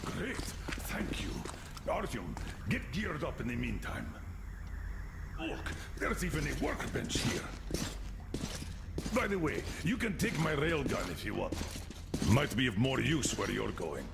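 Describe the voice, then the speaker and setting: A man's voice: medium pitch, big pitch swings, a little slow, creaky, long pauses. An actor playing a commanding middle-aged military colonel and determined father in a video game. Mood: calm, practical. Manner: commanding, terse. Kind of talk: video game cutscene acting. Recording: roomy, loud background noise.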